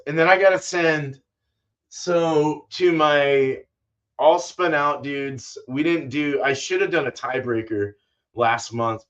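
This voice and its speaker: Older male livestream host, mid-pitched, loose rambling casual delivery, salty language, slightly muffled lo-fi audio. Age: older, fifties or sixties. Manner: laid-back, salty-mouthed, says dude and man often. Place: small indoor home brewing room.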